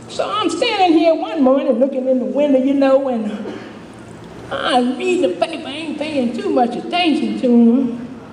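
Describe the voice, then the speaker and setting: A woman's voice: high-pitched, darkish tone. A woman playing a chatty, good-humored older homeless widow, telling her story in a folksy, rambling stage monologue. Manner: folksy, rambling, repeats "you know", chuckling. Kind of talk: dramatic monologue, storytelling to a live audience. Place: indoor stage performance, distant microphone. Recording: echoey hall from far off, faint noise.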